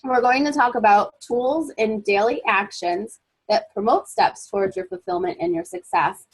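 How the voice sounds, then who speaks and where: Husky-voiced woman giving an upbeat webinar. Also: on the high side, expressive, normal speed, low-quality recording. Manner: upbeat, encouraging, motivational. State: getting over a cold, a bit froggy. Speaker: webinar presenter and life coach. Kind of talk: webinar presentation.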